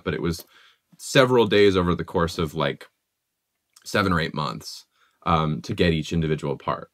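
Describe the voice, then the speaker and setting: A man's voice: fairly low pitch, no echo. A young man in his twenties, a music producer, casually explaining how a song was made in his home studio, with many "like" fillers. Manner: relaxed, conversational, many "like" fillers.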